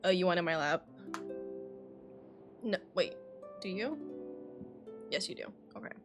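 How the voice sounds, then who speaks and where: A woman's voice: fairly low pitch, expressive, unhurried. Casual woman game streamer over background music. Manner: rambling, swears casually. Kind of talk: casual livestream chat.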